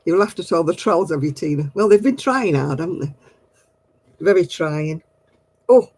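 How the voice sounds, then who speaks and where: A man's voice: on the high side, lively intonation, moderate pace, darkish tone, slightly rough. A middle-aged man, hosting a casual craft livestream and chatting with viewers in a giggly, rambling way. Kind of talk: casual chat answering viewer comments.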